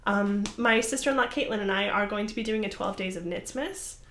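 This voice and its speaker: A woman's voice: mid-range voice, a little fast, a bit bright. A young woman hosting a crafting podcast, chatting casually to the camera in a rambling, apologetic way with lots of fillers. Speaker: podcast host. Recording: roomy echoey room.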